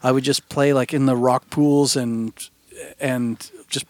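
A man who grew up in England, a musician guest telling his story in a relaxed, rambling way with plenty of fillers. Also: medium pitch, unhurried, dry recording. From England (Cornwall). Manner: rambling, easygoing, filler-heavy, trails off softly. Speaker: podcast guest, musician and realtor. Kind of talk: casual interview storytelling.

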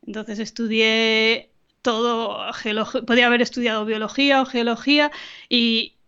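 Woman, slightly high voice on a dull call line. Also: little pitch movement, normal speed, sharp tone, clear, resonant tone. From Argentina. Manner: thoughtful, many fillers and restarts, light laughs. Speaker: guest scientist. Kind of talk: casual podcast interview. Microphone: remote video call.

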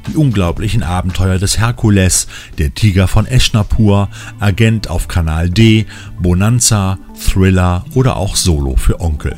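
Low male voice, show host, scripted narration, music underneath. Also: a little mellow. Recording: music bed behind the voice.

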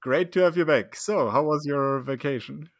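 A man hosting a casual podcast, relaxed and laughing often, with loose, filler-heavy phrasing. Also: fairly high pitch, normal speed, no room sound, clean studio sound. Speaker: podcast host.

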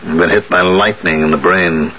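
A man's voice: low voice, fairly even intonation, moderate pace, close to an everyday voice. An older actor playing a sardonic, weary invalid father in a 1970s radio drama, with clipped delivery. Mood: bitter, sardonic. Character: bitter invalid old father in a wheelchair. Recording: dull, noisy vintage radio broadcast.

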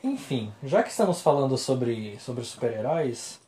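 A man's voice: medium pitch, sing-song, normal speed, dark tone, reverberant. A man with a Brazilian Portuguese accent, co-hosting a casual podcast chat in a slangy, rambling way with frequent restarts. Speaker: podcast co-host.

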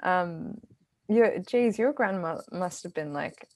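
A woman's voice: lowish voice, expressive, takes it slowly. A young woman interviewing, whose first language is Sinhalese, reacting with effusive enthusiasm in casual conversation.

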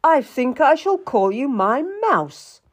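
A woman's voice: high voice, very animated, takes it slowly, voice pushed up, full character voice. A woman storyteller voicing a domineering, boisterous king with a commanding, condescending and emphatic way of talking. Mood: teasing.

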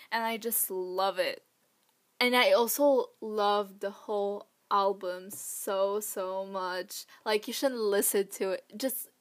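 Young woman rambling on her podcast, slow and chatty, lots of likes and ums. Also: mid-range voice. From Vietnamese family, raised in Poland. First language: Vietnamese. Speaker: podcast host. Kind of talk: casual solo podcast chat.

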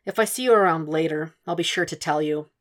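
A woman reading the lines of a weary, guarded man in hushed, clipped replies. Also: low-pitched, fast, close to an everyday voice. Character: weary, guarded adult man, quiet and bitter.

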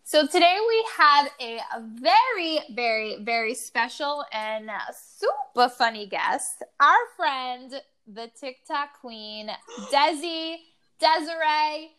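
A woman co-hosting a casual podcast, chatty and quick to laugh, with lots of "like". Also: sits high, animated, bright, forceful tone. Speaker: podcast co-host. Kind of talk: casual chat.

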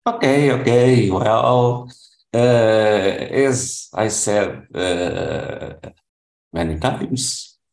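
Slow, gravelly older Portuguese-accented man. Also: fairly low pitch, a little mellow. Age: 70s. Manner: halting, with many restarts and fillers. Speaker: language student. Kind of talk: classroom self-introduction. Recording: roomy echo.

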